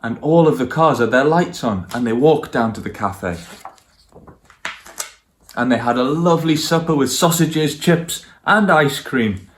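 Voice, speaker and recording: A man's voice: medium pitch, expressive, measured pace. A man reading stories for young children and speaking to them directly as a guest storyteller.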